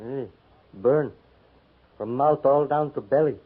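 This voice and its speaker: Wounded scout for old radio western: stoic yet childlike, slow broken English, husky, tinny recording. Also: male voice, medium pitch. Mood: curious, plain. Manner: broken pidgin English in terse phrases. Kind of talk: 1950s radio drama acting. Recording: tinny, band-limited 1950s radio recording.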